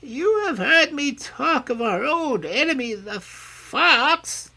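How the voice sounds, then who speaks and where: A reader voicing an old, feeble mother pig who is loving and anxious, in a slow, earnest storybook telling. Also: high voice, very animated, vocal fry, bright, forceful tone, pitched above the performer's own voice, heavily acted. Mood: tender, worried. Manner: slow, tender, earnest pleading. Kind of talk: storybook reading.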